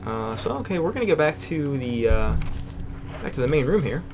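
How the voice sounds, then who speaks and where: A man's voice: fairly high pitch, big pitch swings, fairly quick, noisy background. A man co-hosting a casual video game let's-play, who teases his partner and explains what he is doing in the game. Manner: playful, teasing, explains gameplay. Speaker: co-host of a gaming channel.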